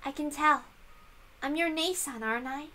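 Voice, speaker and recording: A woman's voice: high voice, normal speed, pushed and bright, pitched above the performer's own voice. A woman voicing an earnest, insistent young female servant with a big-sisterly air, in a visual novel reading. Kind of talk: visual novel read-aloud character voice.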